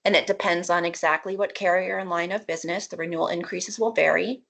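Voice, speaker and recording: Low, creaky-voiced woman presenting at a meeting. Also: fairly even intonation, fast talker, fairly bright tone. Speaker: benefits presenter at a board meeting. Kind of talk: formal meeting presentation of figures.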